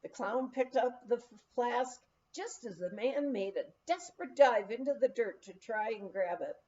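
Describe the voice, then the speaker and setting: A woman reading a memoir aloud as expressive narration, with measured storytelling and dramatic pauses. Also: on the high side, dull audio, a little hiss or hum. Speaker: audiobook reader.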